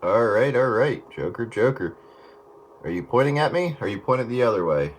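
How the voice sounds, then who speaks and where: A man's voice: medium pitch, sing-song, moderate pace. A man giving short, casual calls as an operator over two-way radio. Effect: two-way radio transmission. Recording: faint radio voice under loud static.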